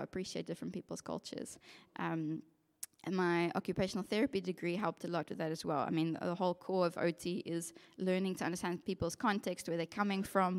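Earnest young South African woman in a hall. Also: lowish voice. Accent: South African English. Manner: earnest, reflective storytelling with frequent ums and yeahs. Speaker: occupational therapist giving a testimony. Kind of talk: personal testimony to a congregation. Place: church hall with a masked audience. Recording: long hall reverb.

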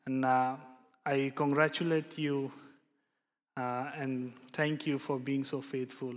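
A young man from India preaching a sermon and praying, speaking haltingly with many fillers and restarts. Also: mid-range voice, level tone. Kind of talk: sermon with prayer. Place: church hall. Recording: long hall reverb.

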